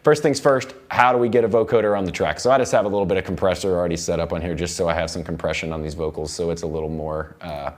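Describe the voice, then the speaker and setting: An American man in his thirties giving an informal software tutorial as an instructor, often repeating and rephrasing himself as he goes. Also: sits low, steady tone, fast talker, few pauses. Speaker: audio production instructor. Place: recording studio control room.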